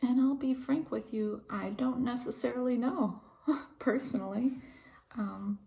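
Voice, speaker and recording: A woman in her thirties from Ukraine, a pharmacist, talking through her thoughts in a hesitant, reflective monologue with frequent pauses and fillers. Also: a little high, gravelly, mellow. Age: 30s. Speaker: pharmacist and video host.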